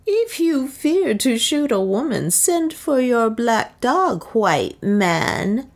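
A woman's voice: mid-range voice, big pitch swings, takes it slowly, creaky, voice pushed up, heavily acted. A woman voicing a proud, bitter serving woman in an audiobook, with solemn, archaic, declamatory phrasing. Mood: mocking.

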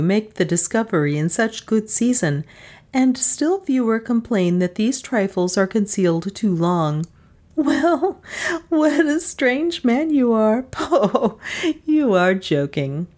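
A woman's voice: medium pitch, big pitch swings, a little slow, a little mellow, voice pushed up. A woman voicing a bold, scheming wife with an arch, coaxing manner. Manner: arch, coaxing, theatrical lilt. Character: bold scheming middle-aged wife, sly and domineering.

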